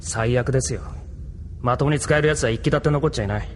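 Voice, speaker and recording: A man's voice: mid-range voice, fast, slight background noise, lightly acted. An actor playing a level-headed, frank male mechanic crewman in an anime audio drama, talking casually. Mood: resigned, frank.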